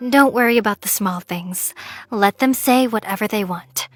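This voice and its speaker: A woman's voice: medium pitch, normal speed, no echo, soft background music, close to an everyday voice. A woman playing a composed, loyal young female employee in a manga story dub, polite, matter-of-fact and encouraging. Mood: firm, reassuring.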